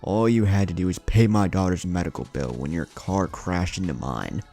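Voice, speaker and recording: A man voicing an enraged, vengeful crystal golem villain with a gravelly, bitter, yelling delivery in an audio story. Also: sits low, faint music behind. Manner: yelling, bitter, drawn-out accusations.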